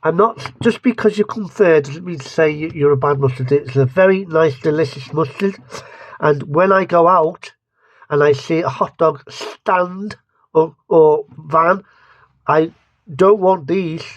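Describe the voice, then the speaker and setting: A man's voice: a little high, no echo, lo-fi sound. A man with a lisp giving a rambling, joking taste-test review, often restarting his sentences. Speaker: vlogger reviewing mustards. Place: home studio.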